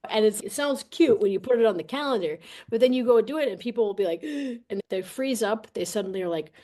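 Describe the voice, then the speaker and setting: A woman speaking as a podcast guest and coach, telling things in a casual, animated, colloquial way. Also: fairly high pitch, a little fast. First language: English. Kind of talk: casual interview talk, personal storytelling.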